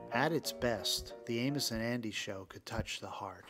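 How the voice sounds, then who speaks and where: A man narrating a scripted documentary podcast in a measured way, with dramatic pauses. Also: mid-range voice. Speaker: podcast host. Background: music.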